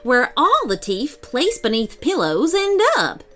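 Brisk, bossy tooth fairy in a storybook, high and bouncy, snappy and fussy, as a woman puts her on. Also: sing-song, a bit bright, faint music behind, exaggerated voice.

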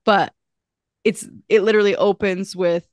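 A woman's voice: mid-range voice, fairly even intonation, normal speed, no room sound, studio quality. A young woman co-hosting a podcast, chatting casually with many fillers and restarts. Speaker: podcast co-host. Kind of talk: casual chat.

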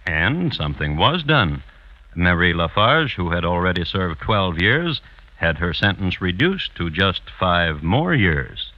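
An actor playing a dry, deliberate crime chronicler who hosts and narrates a 1950s radio drama. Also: male voice, low voice, big pitch swings, exaggerated voice. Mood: grave, wry. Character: dry, measured male crime chronicler hosting the tale. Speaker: narrator host of crime series. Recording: dull vintage radio recording.

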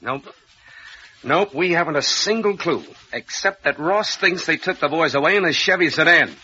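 Gruff, accusing American radio inspector; husky, blunt, vintage lo-fi sound. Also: male voice, a little high, animated, moderate pace, bright, forceful tone, full character voice. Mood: matter-of-fact. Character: gruff, suspicious male police inspector. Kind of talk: 1940s radio acting. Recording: muffled old radio recording.

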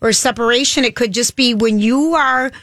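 A woman co-hosting a radio show, telling long rambling stories in a chatty, loud-voiced way. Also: a little high, moderate pace, no echo, clean studio sound. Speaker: radio co-host. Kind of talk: casual radio chat. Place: radio studio.

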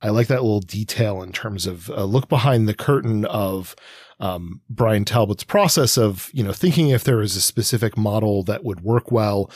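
Husky, lowish-voiced man, halting delivery. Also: a little mellow, dry recording. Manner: hesitant, halting phrasing. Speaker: podcast co-host. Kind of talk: casual literary discussion.

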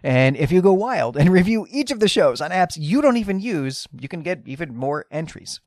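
A man's voice: high-pitched, big pitch swings, moderate pace, dry recording, studio quality. A man talking casually as a podcast co-host, chatty and lightly self-deprecating.

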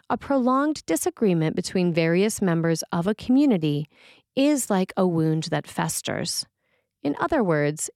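A woman's voice: fairly low pitch, creaky, a little mellow, no room sound, studio quality. A woman priest reading a scripted sermon for a podcast.

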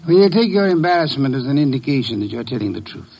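Pompous, creaky-voiced detective boss. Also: male voice, medium pitch, big pitch swings, fast talker, a little mellow, exaggerated voice, low-quality recording. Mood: coolly probing. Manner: formal, precise, wordy, imperious. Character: pompous, erudite detective boss, sedately unmasking killers. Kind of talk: 1950s radio drama acting.

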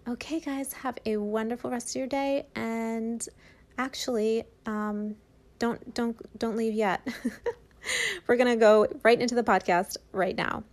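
A woman's voice: a little high, a little slow. A woman hosting an art podcast, talking casually and enthusiastically with lots of fillers. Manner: enthusiastic, filler-heavy, rambling. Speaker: podcast host. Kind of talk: casual podcast intro and interview.

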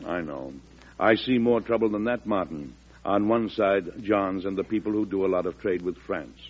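An actor with an American accent playing a folksy, firm president in a 1940s radio drama, speaking in a conversational, deliberate way. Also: male voice, low-pitched. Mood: measured, concerned. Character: folksy, firm American president telling his own story. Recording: muffled vintage radio recording.

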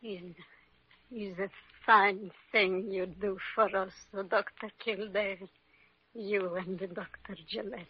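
A woman's voice: medium pitch, fairly even intonation, slow, background noise, natural voice. An actress playing a frail, devoted Italian immigrant mother in an old radio drama, speaking sentimental broken English with an Italian accent. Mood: weak, grateful. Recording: muffled vintage radio recording.

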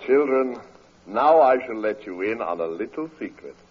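A man's voice: fairly low pitch, animated, moderate pace, a little hiss or hum, lightly acted. A man playing a pompous, greedy older uncle in a 1940s radio comedy, speaking in flowery, self-important phrases. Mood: pompous, self-satisfied. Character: idle, pompous, freeloading old uncle, grandiloquent and greedy. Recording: dull old radio broadcast recording.

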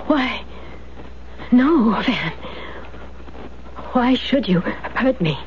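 An actress playing a cold, calculating wife in a radio drama, speaking in a cool, clipped, dismissive way. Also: female voice, fairly high pitch, animated, extremely slow. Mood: wary, calculating. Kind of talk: 1970s radio drama acting. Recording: dull, noisy 1970s radio recording.

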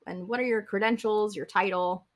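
A woman's voice: mid-range voice, fairly even intonation, normal speed. A woman hosting a podcast, upbeat and welcoming as she introduces her guest. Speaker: podcast host.

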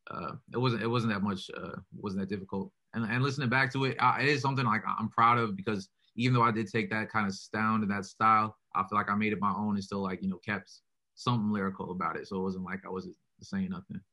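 A young man with a deep, bright-edged voice answers interview questions about his music. He talks quickly and casually, with little rise and fall, and fills every sentence with "like" and "you know". He sounds relaxed and laid back. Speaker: rapper being interviewed.

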